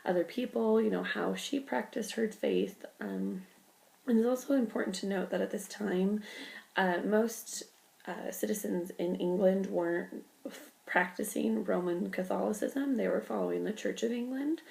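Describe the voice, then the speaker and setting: A woman's voice: mid-range voice, normal speed, mellow, rough recording. A young woman with a cold and a stuffy voice, giving an informal class presentation full of ums and likes. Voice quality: gravelly creak. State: congested with a cold. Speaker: student presenter.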